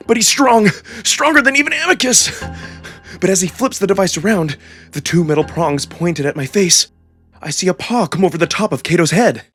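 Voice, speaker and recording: Guy reading a visual novel story, kinda high voice, dramatic, music behind him. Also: a bit bright. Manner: theatrical, immersed in the story. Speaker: narrator and voice performer. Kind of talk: dramatic first-person narration of a visual novel. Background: music under the voice.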